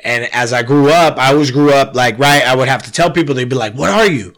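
A man's voice: medium pitch, fast, fairly bright tone. A young man telling a story in casual, profane podcast chat, full of slang and fillers. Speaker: guest telling his fight story.

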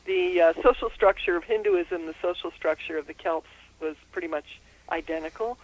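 A woman's voice: on the low side, moderate pace, no echo, rough recording. An older woman from Austria, a herbalist and author, giving interview answers in a thoughtful, explanatory way with frequent ums. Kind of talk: interview answers over the phone.

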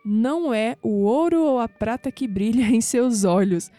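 Actress as inquisitive young Brazilian woman, higher pitch, mellow tone, audio drama, faint music behind. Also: lively intonation, measured pace, no room sound. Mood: earnest, romantic reading. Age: young adult. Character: curious young woman uncovering family secrets, sceptical.

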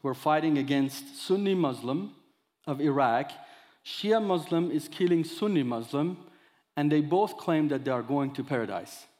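A man in his thirties from Iran, speaking English with an Iranian accent, giving an expressive sermon that mixes scripture with his own testimony. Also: fairly high pitch, normal speed, big-room sound. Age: 30s. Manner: expressive storyteller, acts out dialogue, audience laughter. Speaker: guest speaker.

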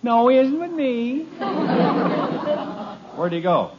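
A man's voice: very high voice, very animated, extremely slow, a loud crowd, heavy background noise, full character voice. An actor playing a harried suburban father who is sarcastic and exasperated, in 1950s radio comedy. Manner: exasperated, wry. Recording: muffled old radio broadcast.

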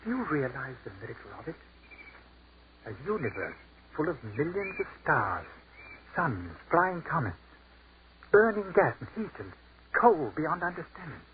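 An actor playing an earnest, awestruck army captain in a 1940s radio horror drama, intense and halting. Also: male voice, fairly high pitch, big pitch swings. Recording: muffled, hissy 1940s radio recording.

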